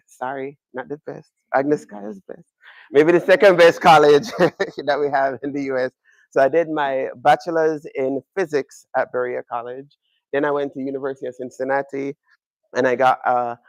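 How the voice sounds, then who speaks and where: A man's voice: mid-range voice, no room sound, low-quality recording. A man in his thirties with a Jamaican accent, an astronomy professor giving an upbeat, chatty public lecture in an observatory room. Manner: upbeat, chatty, joking with the audience.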